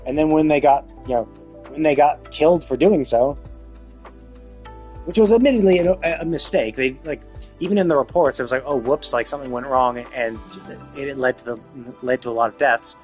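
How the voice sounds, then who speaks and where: A man's voice: medium pitch, a little fast, darkish tone, soft background music. A man livestreaming casual commentary on a game's story in an exasperated way, swearing freely. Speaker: game livestreamer.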